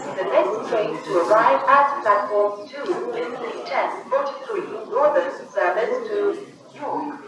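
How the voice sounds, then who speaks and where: A British woman giving formal, scripted automated railway announcements in segmented phrases over a station public address system. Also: mid-range voice. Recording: echoey station PA, distant, noisy background. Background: station background noise.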